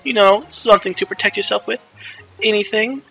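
A man's voice: sits high, a little fast, gravelly, a bit bright, faint music behind, rough recording. A man voicing a brash, scornful young pirate girl captain from a video game, bossy and dismissive.

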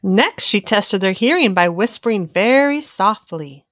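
A woman reading a children's storybook aloud in an expressive, animated narrating style for young listeners. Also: mid-range voice, a little slow, no echo, studio quality.

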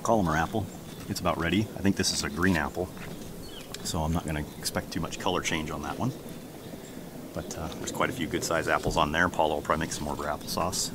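American male homesteading vlogger, middle-aged, extremely low voice, casual and rambling, noisy outdoor camera audio. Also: steady tone, fast. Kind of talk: casual garden tour vlog. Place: outdoors in a backyard garden. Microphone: camera held at arm's length. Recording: outdoor handheld recording with background noise.

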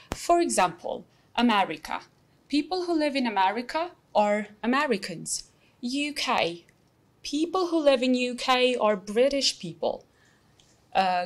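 A young woman with an Albanian accent teaching an English lesson, explaining slowly and patiently with many examples. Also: on the high side. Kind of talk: recorded classroom lesson.

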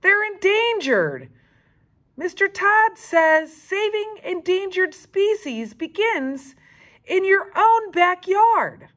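Woman as an excitable, high-pitched bossy schoolgirl. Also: slow, heavily acted. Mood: earnest. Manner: emphatic, exclaiming.

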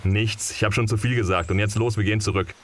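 A man playing a guarded, gruff guide in an audio drama, with a casual, brusque manner. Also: lowish voice, steady tone, fast talker, slight background noise, lightly acted. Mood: evasive, curt.

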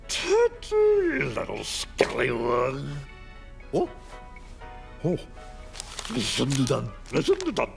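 Childlike, muttering, creaky cartoon bumbler. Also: male voice, fairly high pitch, wildly swooping pitch, heavily acted. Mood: curious, delighted. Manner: halting muttering, gasps and exclamations. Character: childlike, bumbling middle-aged man fussing over his teddy bear. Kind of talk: cartoon voice acting. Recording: loud music behind the voice.

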